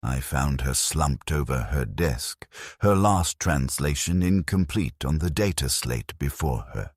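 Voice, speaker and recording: A man narrating a scripted first-person story in a grave, measured way. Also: rumbling low, animated, husky, no echo, clean studio sound.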